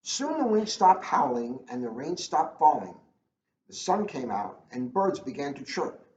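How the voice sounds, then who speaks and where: A man's voice: medium pitch, big pitch swings, measured pace, a little mellow, roomy sound. A man, a police officer, reading a children's storybook aloud in a casual, informal style. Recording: dull lo-fi audio, faint noise.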